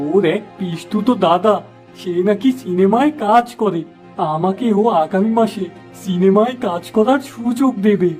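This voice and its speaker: A man's voice: high voice, moderate pace, darkish tone, faint music behind, natural voice. A man voicing a gullible, starry-eyed young village shop lad in a cartoon, eager and earnest. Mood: excited, insistent. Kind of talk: Bengali cartoon fable voice acting.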